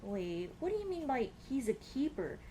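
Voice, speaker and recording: A woman acting a high-voiced, lively bashful tomboy. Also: moderate pace, a little hiss or hum. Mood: embarrassed, fond. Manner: playful, bashful, hesitant, casual dude-talk. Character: shy, teasing tomboy childhood friend in love, young woman. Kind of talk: ASMR roleplay acting, intimate girlfriend scene.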